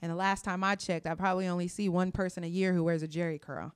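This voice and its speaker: A woman's voice: on the low side, little pitch movement, fast talker, clear, resonant tone. A woman from California, a hip hop artist and hairstylist, talking passionately at length as an interview guest, with fillers. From Sacramento, California. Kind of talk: casual interview talk.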